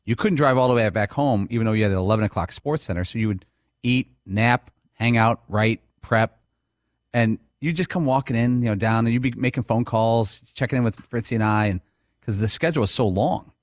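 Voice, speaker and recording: A man's voice: mid-range voice, fairly quick, no echo, clean studio sound. A man on a radio show crew, recalling old work routines in a matter-of-fact way. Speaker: show co-host. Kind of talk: casual studio banter.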